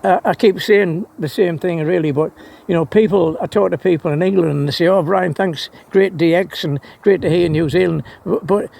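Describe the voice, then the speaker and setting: A man's voice: high voice, normal speed, creaky, hardly any pauses, a little mellow. A man rambling casually and enthusiastically about his hobby, often repeating words as he hesitates. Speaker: amateur radio hobbyist vlogger.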